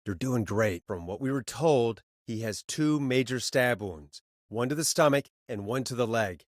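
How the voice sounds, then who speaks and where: A man's voice: mid-range voice, expressive, moderate pace, dry recording, clean studio sound, natural voice. A man playing an earnest radio host in a video game, conversational and naturalistic, guiding people through emergencies. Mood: calm, urgent.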